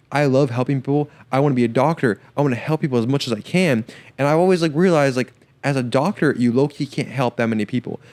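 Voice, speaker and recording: A young man with a slight stutter talks rapidly through a solo podcast episode. His voice sits at an ordinary middle pitch. He is eager and casual, piling up fillers and repeated words. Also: darkish tone. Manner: enthusiastic, filler-heavy slang with frequent repeats. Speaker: podcast host.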